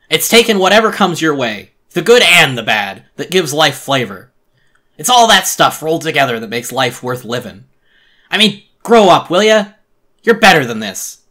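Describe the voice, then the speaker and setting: A man acts out a gruff cartoon mole from a game story, giving an exasperated telling-off. The voice is pushed high and forceful. Each folksy line lands with hectoring emphasis. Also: heavily acted. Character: gruff scolding male mole, exasperated lecturer.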